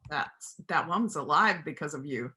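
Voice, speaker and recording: A woman plays a principled widowed country animal doctor in a stage reading. Her voice is bright and forceful, and she speaks plainly, with earnest, persuasive conviction and gentle firmness. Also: low-pitched, lively intonation, normal speed. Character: principled widowed country vet, gentle but firm pacifist. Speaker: amateur church play performer. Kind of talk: reader's theater performance.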